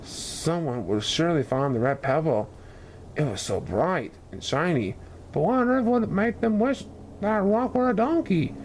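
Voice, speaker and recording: A man reading a children's storybook expressively, with dramatic, deliberate phrasing. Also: on the high side, expressive, a little mellow, a little hiss or hum, poor audio. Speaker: story reader.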